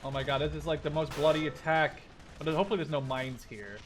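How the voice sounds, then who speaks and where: A man's voice: on the high side, fairly even intonation, fast talker, over music. A man in his twenties giving casual, sweary let's play commentary, thinking aloud as he plays. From Canada or the Netherlands. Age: 20s. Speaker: gaming video host. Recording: game gunfire and vehicle noise behind. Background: war game artillery, gunfire and vehicles.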